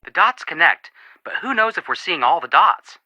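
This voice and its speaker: An actor playing an earnest, sympathetic young amateur detective in scripted video game dialogue. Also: male voice, fairly high pitch, very animated, normal speed, pushed and bright, no room sound, muffled recording, exaggerated voice. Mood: upbeat.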